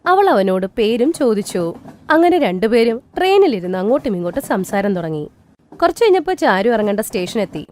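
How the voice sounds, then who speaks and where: A woman narrating a cartoon moral story in an expressive storybook style. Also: medium pitch, brisk, a little hiss or hum. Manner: lively, expressive.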